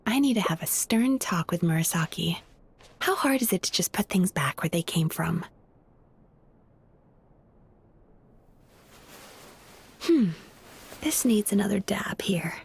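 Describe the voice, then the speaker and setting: An actress playing a gentle, playful young dream therapist in a video game, speaking in a soft, breathy, coaxing and reassuring way. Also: female voice, mid-range voice, heavily acted. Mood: mildly fussy. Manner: coaxing, unhurried, calmly reassuring. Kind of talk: soft, soothing guided-hypnosis game dialogue.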